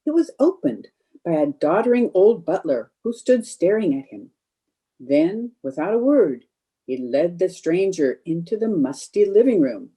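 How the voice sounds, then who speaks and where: A woman's voice: lowish voice, warm tone, husky. A woman narrating a radio play in a dramatic, scripted storytelling style.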